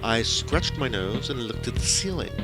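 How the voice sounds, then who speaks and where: A man's voice: mid-range voice, expressive, moderate pace, loud music behind the voice. A man reading his own scripted first-person fiction as an audiobook narrator.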